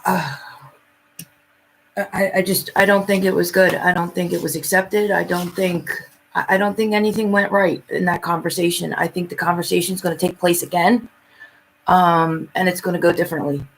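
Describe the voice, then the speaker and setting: A woman speaking casually and haltingly as a psychic reader in a live chat, often repeating her phrases. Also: on the low side, steady tone, vocal fry, slight background noise, poor audio.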